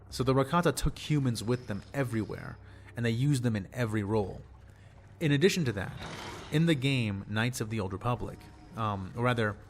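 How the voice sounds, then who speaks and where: A young man, slightly hoarse, explaining fictional lore in a rambling, casual way and repeating words for emphasis. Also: medium pitch, slight background noise. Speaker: channel host.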